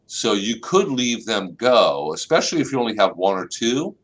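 A middle-aged man hosting a live online plant clinic from an office over a video call, explaining calmly and saying y'all. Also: fairly low pitch, normal speed. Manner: relaxed, explanatory, uses y'all. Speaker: extension agent and plant clinic host. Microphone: webcam on a video call.

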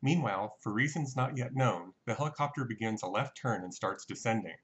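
A man giving a measured, matter-of-fact scripted technical narration as an explainer. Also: medium pitch, expressive, fairly bright tone, husky. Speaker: narrator of a flight reconstruction.

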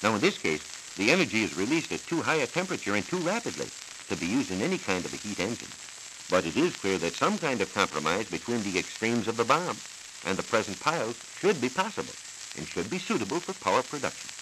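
Lively low-voiced American man, crackly 1940s radio. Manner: measured, didactic, formal. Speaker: science reporter on a radio programme. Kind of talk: scripted 1940s educational radio explanation. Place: radio studio. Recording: hissy, crackly 1940s radio transcription disc.

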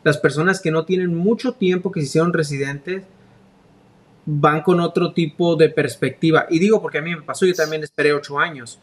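A man in his thirties hosts a video-call interview, and his voice sits a little high for a man. He talks in a friendly, encouraging way, close to a big studio microphone in a small room. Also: steady tone, fairly quick. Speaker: host. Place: small room with grey wall. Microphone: large studio mic on arm.

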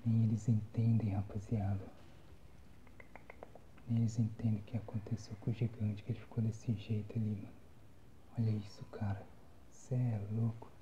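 A man's voice: fairly low pitch, little pitch movement, normal speed, pauses often, muffled recording. Whispering young Brazilian man, muted and noisy. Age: young adult. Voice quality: soft, breathy whispering. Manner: casual, slangy. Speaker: gamer, ASMR channel host. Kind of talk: whispered ASMR gameplay commentary. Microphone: phone microphone, close.

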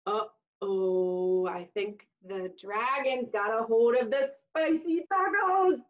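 A woman in her thirties reading a storybook aloud to young children with expressive, exaggerated delivery and dramatic pauses, in a small room. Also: on the high side. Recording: dull, lo-fi webcam audio.

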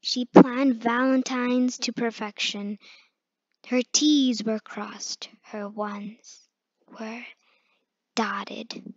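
A woman reading a children's picture book aloud, sometimes halting over harder words. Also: fairly high pitch, fairly even intonation. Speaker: read-aloud storyteller. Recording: muffled, echoey room recording.

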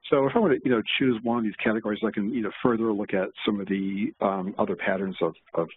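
A man walking through a software demo at a meetup, talking in a halting way with many fillers and restarts. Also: fairly low pitch, fairly even intonation, husky, no echo. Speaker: presenter. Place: meetup room. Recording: tinny, lo-fi meetup recording.

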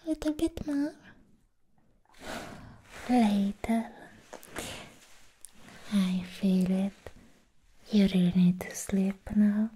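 A woman voicing a sweet, doting vampire girl in an ASMR roleplay, soothing the listener toward sleep in soft, breathy whispers. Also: medium pitch, animated, exaggerated voice, poor audio. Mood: playful, caring. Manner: slow, tender, soothing, repeating words.

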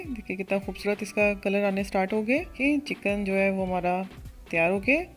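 A woman hosting a cooking channel, narrating a recipe step by step in a steady instructional voice. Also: mid-range voice. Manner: steady step-by-step instruction, addresses viewers as friends. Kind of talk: recipe voice-over.

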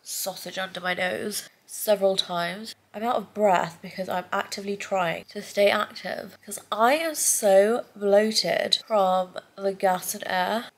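A woman's voice: mid-range voice, fairly even intonation, a little slow, hardly any pauses, rough recording. A young woman vlogging her recovery to a close laptop camera, chatty and candid, with a badly congested, stuffy nose. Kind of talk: casual recovery vlog to camera. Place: bedroom, indoors.

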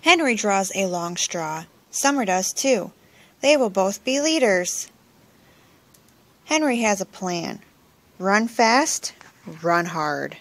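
Slow, lively woman reading a storybook. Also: medium pitch. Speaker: parent reading a picture book aloud. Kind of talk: children's storybook read-aloud. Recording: noisy home recording with clicks.

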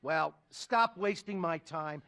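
A man's voice: a little high, takes it slowly, fairly bright tone. A man playing a rich, ruthless, cynical finance mentor in a satirical video game movie, pompous and contemptuous. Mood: brusque, contemptuous. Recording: music under the dialogue.

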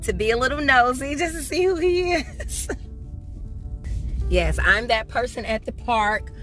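A woman's voice: high voice, lively intonation, a little slow, a bit bright, soft background music. A middle-aged woman chatting casually to her viewers, laughing often, filmed with a handheld phone in a car and out in a park. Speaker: vlogger. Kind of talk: casual vlog chat. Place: in a parked car and walking outdoors in a park. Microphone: phone camera held at arm's length.

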